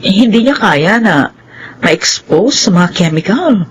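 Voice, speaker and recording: A woman playing a soft-hearted, anxious middle-aged Filipino mother and wife in a radio drama. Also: medium pitch, slow pace. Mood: worried. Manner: anxious, expressive. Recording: noisy radio drama track.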